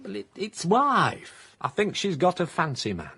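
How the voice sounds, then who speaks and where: Flustered, husky middle-aged Yorkshire husband. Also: male voice, high-pitched, wildly swooping pitch, unhurried, sharp tone, exaggerated voice. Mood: upset, bereft. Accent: South Yorkshire. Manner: hesitant, flustered. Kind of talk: radio comedy drama acting.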